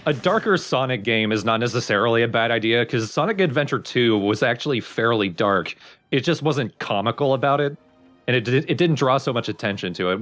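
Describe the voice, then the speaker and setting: A man's voice: medium pitch, a bit bright. A man giving casual, opinionated commentary on a video game among friends, explaining what he thinks of it. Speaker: let's play co-commentator. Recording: game music behind the voice. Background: video game music.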